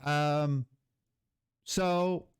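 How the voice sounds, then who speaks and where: A man's voice: a little high, steady tone, very slow, smooth, no echo, studio quality. A man with a Dutch accent speaking English as a second language, ranting bluntly and heatedly to camera, swearing freely and searching for words. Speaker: vlogger, former game level designer. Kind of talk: unscripted angry rant to camera.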